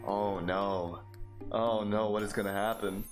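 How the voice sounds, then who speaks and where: A man in his twenties hosting a let's play, with energetic, goofy gameplay commentary. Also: on the low side. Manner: energetic, goofy, quick reactions. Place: small home room. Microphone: studio mic on a boom stand. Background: game music.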